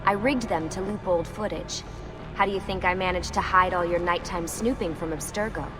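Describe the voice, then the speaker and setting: Actress as low-voiced, curt woman leading an escape. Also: moderate pace, close to an everyday voice. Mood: wry. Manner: urgent, commanding, curt. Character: tense, brisk young woman leading an escape. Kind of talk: video game voice acting. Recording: game audio under music. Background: game soundtrack music.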